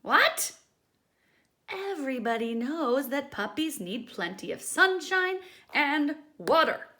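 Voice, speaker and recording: A woman's voice: high voice, big pitch swings, slow pace, pushed and bright, exaggerated voice. A woman voicing a demanding, whiny pigeon given to theatrical outbursts in a children's storybook. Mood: indignant, pouting. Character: demanding, dramatic, tantrum-prone cartoon pigeon. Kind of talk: children's storybook read-aloud.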